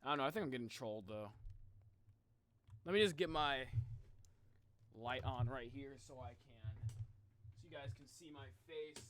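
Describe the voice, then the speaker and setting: A man's voice: mid-range voice, moderate pace, pauses often, fairly bright tone, slight background noise. A man doing casual live game commentary as a streamer, talking in slangy, reactive exclamations.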